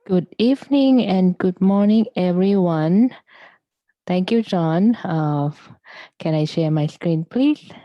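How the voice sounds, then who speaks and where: Woman on a video call, earnest and halting, low voice, kinda muffled audio. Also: lively intonation, no echo. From Thailand. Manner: earnest, searching for words. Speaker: meeting presenter. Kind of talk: personal presentation on a video call.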